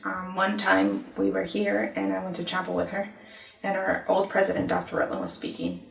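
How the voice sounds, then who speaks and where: Ecuador-born woman, 30s, testimony interview, gravelly voice, roomy sound. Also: mid-range voice. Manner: thoughtful, many "um" pauses, storytelling. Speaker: university discipline office director. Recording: echoey room, mic at a distance.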